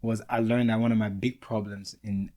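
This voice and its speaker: A man's voice: on the low side, level tone, normal speed, mellow. A man hosting a podcast, chatting casually and eagerly with loose, filler-heavy phrasing. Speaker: podcast host. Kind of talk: casual interview chat.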